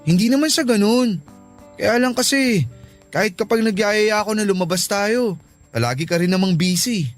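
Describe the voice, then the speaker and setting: A man's voice: high-pitched, normal speed, natural voice. An earnest young Filipino college man played by a male actor in a radio love drama. He sounds lovesick, persistent and pleading, over faint background music. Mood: hurt, pleading.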